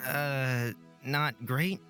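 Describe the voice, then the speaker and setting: Woman as a bright-voiced, hesitant young man. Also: rumbling low, fairly even intonation, soft background music, heavily acted. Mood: concerned. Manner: hesitant, halting. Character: anxious, hesitant young man in fantasy visual novel.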